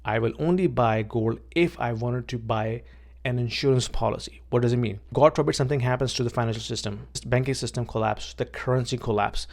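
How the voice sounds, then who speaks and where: Man from Pakistan, gravelly voice, casual talk to camera, repeats words, says okay a lot. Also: medium pitch, fairly quick. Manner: plainspoken, repeats words, frequent "okay" and "right". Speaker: vlogger and realtor. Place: outdoors.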